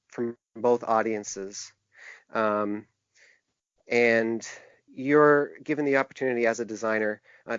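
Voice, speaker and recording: A man answering interview questions in a halting, earnest way. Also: mid-range voice, a bit bright, dry recording. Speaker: interview guest; typographer and book designer. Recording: dull, narrow-band lo-fi sound.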